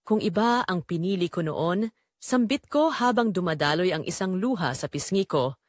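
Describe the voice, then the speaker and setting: A woman's voice: on the low side, lively intonation, normal speed, dry recording. A woman playing a weary, neglected mother who tells her own story in an emotional, pleading audio drama narration. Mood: wistful, grieving. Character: Marites, weary, neglected, long-suffering Filipino mother.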